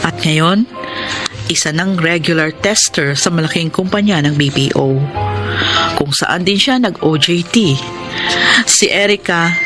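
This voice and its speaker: A woman playing a grateful, devoted single mother in a Filipino radio drama, speaking earnestly and respectfully. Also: low-pitched. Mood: reflective, relieved. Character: grateful, devoted single mother telling her life story. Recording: music bed behind voice.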